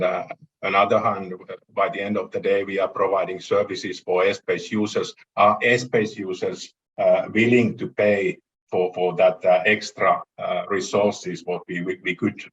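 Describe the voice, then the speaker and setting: Finnish accent guy on a panel, really low voice, hesitant and repeating himself, muffled audio. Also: level tone, a bit bright. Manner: halting, hesitant, repeats words. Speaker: panel speaker from air navigation industry. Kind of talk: unscripted panel answer. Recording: dull lo-fi audio.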